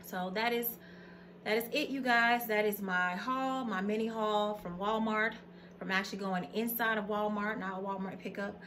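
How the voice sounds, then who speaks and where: There is clear background noise on this home recording, picked up by a camera mic in a plain room. An American woman with a medium-pitched voice talks in a casual, folksy, conversational way, like a vlogger presenting items to her viewers. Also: fairly even intonation, normal speed, fairly bright tone. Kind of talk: casual product haul chat to camera.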